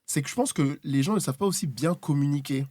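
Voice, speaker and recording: A man's voice: on the high side, fast, dark tone, dry recording. A man from French Guiana, a guest film director, chatting casually in a panel discussion.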